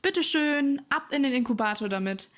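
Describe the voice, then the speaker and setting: A woman's voice: high voice, lively intonation, normal speed. A woman presenting as a scientist in an educational film, giving a semi-scripted lab demonstration.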